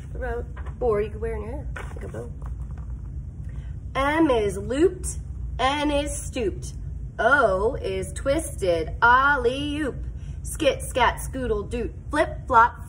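Female elementary teacher, higher-pitched and unhurried, expressive read-aloud for little kids, prompting them to join in. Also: slight background noise, rough recording. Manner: expressive, deliberate, sing-song, prompts listeners to join in. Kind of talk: animated picture-book read-aloud for young children. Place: school classroom, indoors.

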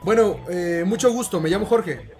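A man's voice: high voice, lively intonation, moderate pace, a little mellow, soft background music. A young man speaking politely and hesitantly on a prank phone call, playing a concerned father. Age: young adult. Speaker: prank caller posing as a father. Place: room at home, indoors.

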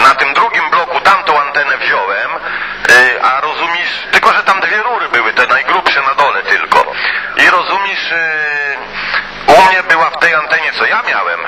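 A man chatting casually over CB radio in a colloquial, rambling way, with mild swearing and filler phrases. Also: a little high. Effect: CB radio transmission. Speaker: CB radio hobbyist. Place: two-way CB radio conversation. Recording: noisy CB radio transmission.

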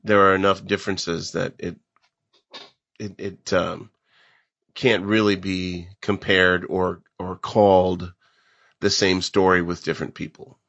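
A man co-hosting a casual panel discussion about old-time radio, speaking haltingly with pauses. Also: low voice, level tone, a bit bright, no echo, lo-fi sound. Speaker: podcast co-host.

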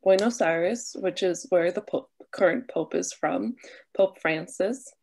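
A woman in her thirties, a nun who grew up speaking Spanish, tells her story at a slow, easy pace. She fills gaps with "um" and soft laughs. It is a webcam recording from a small bedroom. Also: lowish voice, gravelly, slightly rough. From Argentina, raised near New Orleans. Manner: warm, unhurried, with fillers and soft laughs. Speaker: nun and vocations director. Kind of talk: casual talk, opening with a prayer. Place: small bedroom with a wooden armoire, indoors. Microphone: webcam on a video call.

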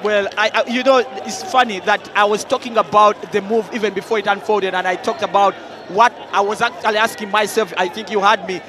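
A man with a Ugandan English accent analysing a football match as co-commentator, talking in a measured way with many fillers. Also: high-pitched, steady tone, noisy background. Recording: broadcast mix with crowd and music.

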